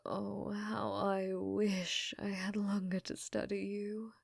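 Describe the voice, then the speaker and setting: A woman voicing an unhinged, infatuated scientist in a hushed, breathy ASMR roleplay, clinical yet flirtatious and often whispering. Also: mid-range voice, little pitch movement, slow pace, no room sound, clean studio sound, exaggerated voice. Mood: fascinated, lingering. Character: unhinged, infatuated female scientist studying a captive alien.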